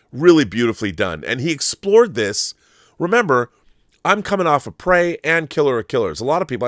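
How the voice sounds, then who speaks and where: A man's voice: medium pitch, a bit bright, no room sound, clean studio sound. A man hosting a film commentary show, talking in a casual, opinionated monologue with an effusive, emphatic, rambling manner and some swearing.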